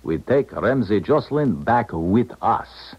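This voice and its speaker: A deep, husky man, a formal and calculating schemer in a radio play. His phrasing is deliberate and swings expressively. The old recording is dull and muffled. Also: animated. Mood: calculating, guarded. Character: calculating foreign male defector, formal and smooth-tongued schemer. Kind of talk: 1970s radio drama acting.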